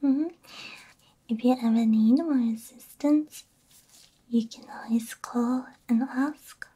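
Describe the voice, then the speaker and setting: A woman whispering gently and soothingly in an ASMR roleplay as a repair technician, breathy and close to the microphone. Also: fairly high pitch. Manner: gentle, soothing, unhurried, soft hums between phrases. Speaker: ASMR VTuber playing a robot repair technician. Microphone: close binaural ASMR microphone.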